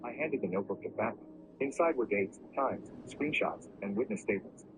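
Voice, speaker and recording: A man narrating a first-person story in a steady, even storytelling delivery. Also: on the low side, fairly quick. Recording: muffled lo-fi recording with clear background noise.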